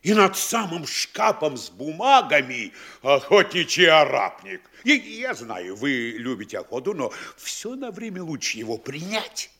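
A man's voice: on the high side, very animated, unhurried, creaky, hardly any pauses, bright, forceful tone, exaggerated voice. An actor playing a pompous middle-aged town mayor who lectures and instructs his officials in a radio play. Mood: instructing. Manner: bossy, instructing, mock-scolding. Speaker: town governor. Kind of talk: studio radio play acting.

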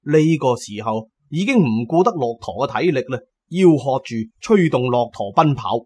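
A man's voice: mid-range voice, expressive, moderate pace, darkish tone, husky. A man narrating a first-person adventure story as a dramatic audiobook storyteller.

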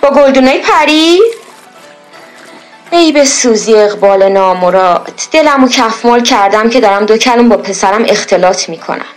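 A woman's voice: fairly high pitch, lively intonation, soft background music, lightly acted, low-quality recording. A woman playing a proud, fretful middle-aged village mother, folksy and lamenting, in a period radio drama. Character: proud, fretful village-born mother, khan's daughter, doting and scolding.

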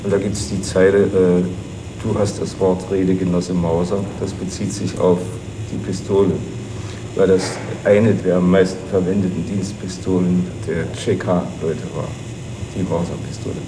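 A man explaining as an interviewed expert, speaking spontaneously in a halting, explanatory way. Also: deep voice, level tone. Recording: clear ambient background noise.

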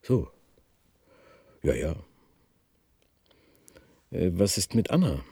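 A man's voice: low voice, sing-song, drawn-out words, creaky, dark tone, husky, no room sound, studio quality, heavily acted. An actor playing a curt, pressing man who probes for answers in a radio drama. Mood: probing. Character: pressing, curt man questioning an expert, offering sweets. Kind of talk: German radio drama acting.

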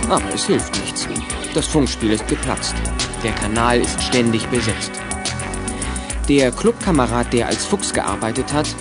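A male narrator tells a children's adventure story from a 1980s radio play. His voice is medium-pitched, with prominent music underneath.

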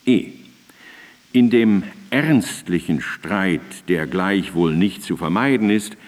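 An actor playing an earnest philosopher, reciting formal prose in a deliberate, emphatic radio drama manner. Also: male voice, sits low, animated. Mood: earnest, instructive. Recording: reverberant hall sound.

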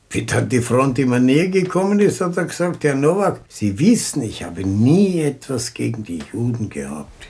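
Evasive neighbour, husky, mid pitch, matter-of-fact, colloquial, radio drama. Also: male voice, a little mellow, heavily acted. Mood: dry, reporting. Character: plain-spoken, evasive Viennese neighbour, Herr Nowak. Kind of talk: German radio play acting.

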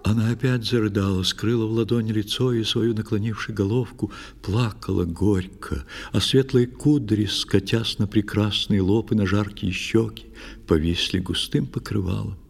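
A man narrating a verse tale in a dramatic, measured, theatrical way. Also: on the low side, fairly even intonation, gravelly, darkish tone.

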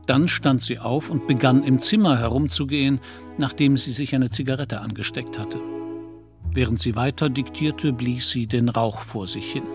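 A man giving scripted literary narration in a German radio play. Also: medium pitch. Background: music behind the narration.

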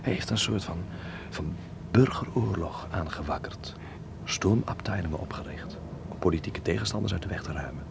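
Low, gravelly young Dutchman. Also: moderate pace, noisy background. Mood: grave, troubled. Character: thoughtful young Dutch dancer living in 1930s Berlin. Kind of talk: radio drama acting, conversational and reflective.

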